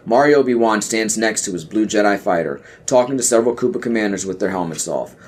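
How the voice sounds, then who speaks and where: A man's voice: lowish voice, a little hiss or hum. A man reading stage directions as the narrator of a scripted audio play.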